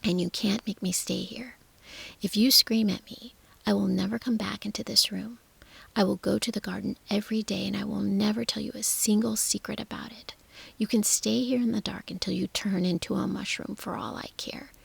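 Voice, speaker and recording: A woman whispering as a blunt sharp-tongued girl. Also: mid-range voice, steady tone, normal speed, creaky, slightly rough, no room sound, full character voice. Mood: scolding. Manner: whispered, clipped and scolding.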